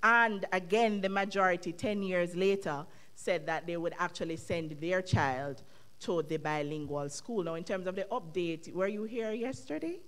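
A woman in her thirties with a Jamaican accent, answering questions on a university panel in a thoughtful, measured way at a podium microphone. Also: fairly low pitch, a bit bright. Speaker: conference panelist. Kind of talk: academic panel discussion. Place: university lecture hall. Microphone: microphone on stand at podium.

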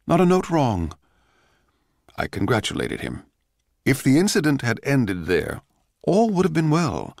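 A man playing a bitter, envious male court composer, narrating in a measured, theatrical, sardonic way for radio drama. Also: mid-range voice, huge pitch swings, gravelly, a little mellow, no echo, clean studio sound, voice pushed up, exaggerated voice. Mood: bitter, awed.

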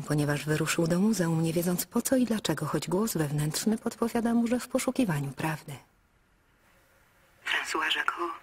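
An actress voicing a lyrical, coy female answering machine in a radio play, speaking in a dreamy, breathy half-whisper. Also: lowish voice. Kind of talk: radio drama acting.

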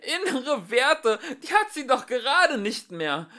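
A man's voice: extremely high pitch, big pitch swings, slow, bright, forceful tone, pitched above the performer's own voice, full character voice. A man voicing a gossipy, mocking female house in a children's story, chattering shrilly and gleefully. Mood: spiteful scolding.

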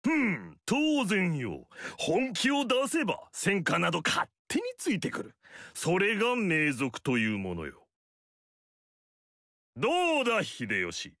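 Snooty noble warlord, high gravelly voice, swings all over, dry studio sound. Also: male voice, huge pitch swings, slow pace, fairly bright tone, dry recording, heavily acted. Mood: smug. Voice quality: haughty, pompous. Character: pompous vain noble warlord, middle-aged man. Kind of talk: video game voice lines.

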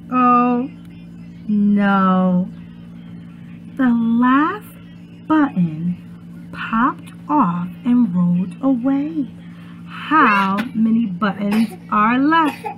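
A young woman, a preschool teacher, reads aloud and chats to small children in an expressive, encouraging way, on a muffled webcam recording made at home. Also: fairly high pitch, extremely slow, pauses often, soft background music. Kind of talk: children's read-aloud and chat. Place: small furnished room at home, indoors.

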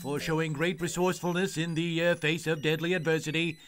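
An actor playing a pompous, distracted military admiral in an audio comedy, with a halting, officious delivery. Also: male voice, on the high side, little pitch movement, a bit bright, soft background music, close to an everyday voice. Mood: perfunctory. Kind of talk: audio comedy drama acting.

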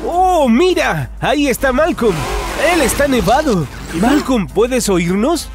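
Kids' cartoon narrator, very high and swooping, cheerful, encouraging, exclamatory, loud music underneath. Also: male voice, sing-song, slow, exaggerated voice. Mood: excited. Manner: exclamatory, encouraging, addresses the characters directly. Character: cheerful, encouraging male narrator guiding monster trucks. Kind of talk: animated children's cartoon narration.

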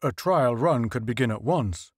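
A man's voice: mid-range voice, animated, normal speed, husky, no room sound, clean studio sound. A man voicing a bold, plainspoken male spaceship commander in a story reading. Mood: wry.

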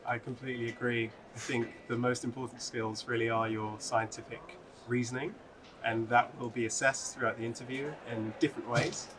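A young man from the UK, a recent PhD graduate, giving advice in a steady, even way. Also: medium pitch, normal speed, background noise. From United Kingdom. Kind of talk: answering interview questions to camera. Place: large open atrium, indoors. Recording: crowd chatter behind the voice.

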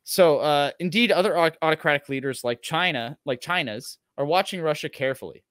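A man's voice: on the high side, brisk, a bit bright, clean tone, dry recording. Sardonic man, close dry sound. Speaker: show co-host. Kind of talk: reading an article aloud with casual commentary. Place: indoors at home on a video call.

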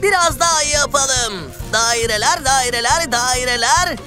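A woman's voice: high voice, measured pace, loud music behind the voice. A woman playing a cheerful, curious young male explorer in a children's cartoon, enthusiastic and full of questions. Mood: curious, eager. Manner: enthusiastic, exclamatory, inquisitive.

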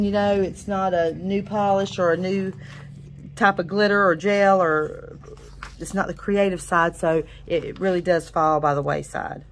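A woman talks through a salon business book in a relaxed, chatty way. Her voice sits on the lower side, and she keeps adding fillers and restarting her sentences as she goes. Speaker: nail technician reviewing a book. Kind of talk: casual book review.

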